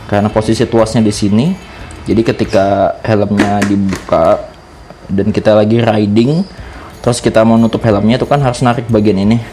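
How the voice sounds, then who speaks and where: A man's voice: on the low side, steady tone, moderate pace, music in the background. A man with a Jakarta Indonesian accent giving a casual product review, explaining things informally step by step as a vlogger.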